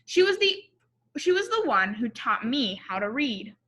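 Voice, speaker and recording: A woman voicing a loving father who tells family stories in a storybook, with gentle, measured delivery. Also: fairly high pitch, sing-song, full character voice. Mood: fond, reflective.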